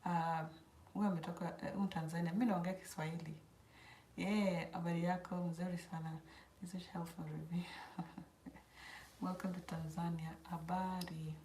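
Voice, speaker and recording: A woman with an East African accent hosts an informal livestream in a relaxed, slightly low voice. She talks conversationally, as if answering people in a chat. The room adds a clear echo around her words. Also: moderate pace. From South Sudan, grew up in Kenya. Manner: informal, switches between English and Swahili. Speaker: host of a hair channel. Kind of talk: casual livestream chat answering viewer questions. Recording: roomy echo, faint background noise.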